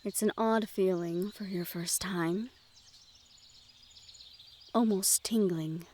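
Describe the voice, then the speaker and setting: A woman acts out a young noblewoman of a fantasy realm, gentle and curious, in an audio roleplay. Her voice sits at a medium pitch. She addresses the listener in formal, measured sentences, with birdsong and garden ambience behind her. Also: fairly even intonation, a little hiss or hum, natural voice. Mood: friendly, curious. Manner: formal, measured phrasing with few contractions. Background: outdoor garden ambience with birdsong.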